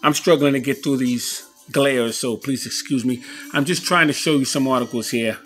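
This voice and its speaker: A man giving a casual show-and-tell commentary as an enthusiastic collector, halting a little as he reads old clippings aloud. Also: medium pitch, fairly bright tone, faint music behind. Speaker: boxing memorabilia collector showing his scrapbooks on video.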